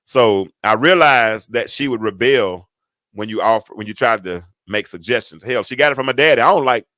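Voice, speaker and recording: A middle-aged man with a deep, stentorious voice, hosting a talk show and telling a story to his audience. His tone is bright and forceful, and he leans on repetition and folksy asides for emphasis. The sound is dry and close. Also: moderate pace, no echo. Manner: emphatic, repeats words for effect, folksy asides.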